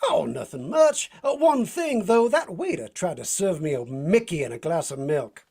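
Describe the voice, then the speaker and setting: A husky Southern cowboy type from old-time radio. His pitch swings wildly as he drawls folksy slang, tough yet playful and easygoing. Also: big pitch swings, normal speed, a little mellow, heavily acted. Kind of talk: vintage radio drama acting.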